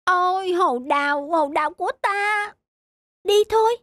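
Very high, childlike cartoon squirrel. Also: female voice, measured pace. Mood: plotting. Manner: childish, excitable. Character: small, childlike, mischievous young squirrel. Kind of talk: cartoon voice acting. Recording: faint music behind.

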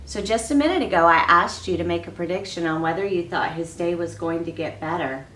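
A woman reading coach giving an expressive children's read-aloud, pausing to put questions to young listeners. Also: lowish voice. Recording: roomy, distant mic, faint background noise.

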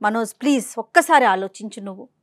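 High-voiced woman host, dry sound. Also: expressive, quick pace, dry recording. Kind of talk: pleading counselling talk. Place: studio.

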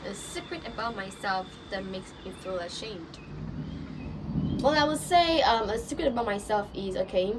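A young Nigerian woman vlogging from an outdoor balcony, talking straight into a phone camera in a loose, chatty way. She stumbles and repeats words now and then. Clear street noise sits behind her voice. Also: medium pitch, expressive, normal speed. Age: young adult. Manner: chatty, with stammers and laughing asides. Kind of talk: casual get-to-know-me vlog. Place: outdoors on a balcony above rooftops. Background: street ambience.

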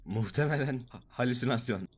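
A man in an animated series dub playing a dry, unimpressed card creature with a deadpan, matter-of-fact delivery. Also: medium pitch, brisk, background noise, natural voice. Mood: sceptical.

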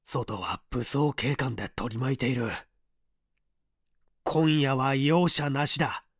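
A narrator voicing a resolute, composed man who speaks in quiet, firm commands. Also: medium pitch, slow pace, husky, no room sound, studio quality. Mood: grave, firm. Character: resolute, composed male detective-like hero.

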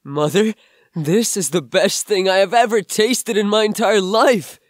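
A man playing a bookish young misfit and loyal son in an audio drama, earnest and expressive. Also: sits high, measured pace, no echo, studio quality. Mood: excited, affectionate. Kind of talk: amateur audio drama acting.

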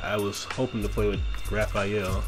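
A man's voice: fairly low pitch, level tone, normal speed. A man with a cold giving loose, joking gameplay commentary, swearing now and then. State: has a cold, sniffly. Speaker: let's play gamer. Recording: voice over game audio with music.

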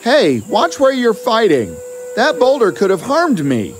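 A man's voice: sits high, huge pitch swings, unhurried, pitched above the performer's own voice, full character voice. A man voicing an eager, bold young fairy tale hero in a cartoon, earnest and exclamatory. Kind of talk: animated fairy tale dialogue. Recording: music behind the voice.

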